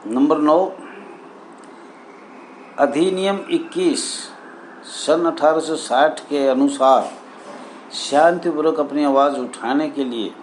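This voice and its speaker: A man addresses association members as their leader, reading out documents and commenting in deliberate, halting short phrases. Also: fairly high pitch, low-quality recording. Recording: steady background noise.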